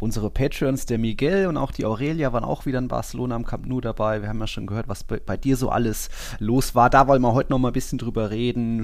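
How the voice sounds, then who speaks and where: Guy hosting a chatty soccer podcast, mid pitch, really dry studio sound. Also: vocal fry, dry recording. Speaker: podcast host. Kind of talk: casual football podcast chat.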